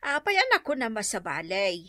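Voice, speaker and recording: An actress playing a curious, easily scandalized gossip friend with an Ilocano accent, questioning and exclaiming in a radio comedy drama. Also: female voice, mid-range voice, sing-song, a little fast, sharp tone, no room sound, clean studio sound.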